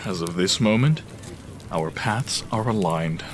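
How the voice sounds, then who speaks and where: A man's voice: low-pitched, measured pace, a little hiss or hum. A man playing a stern, righteous warden in a fantasy audio drama, speaking in a solemn, formal, commanding way. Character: stern, righteous male warden, loyal to his god.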